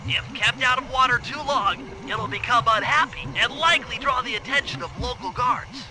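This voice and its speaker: A high, nerdy cartoon turtle lays out plans with fussy precision. He sounds like a proud little game strategist, with clear ambient noise behind him. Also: moderate pace. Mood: explaining, matter-of-fact. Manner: precise, pedantic, wordy explaining. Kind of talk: video game cutscene acting.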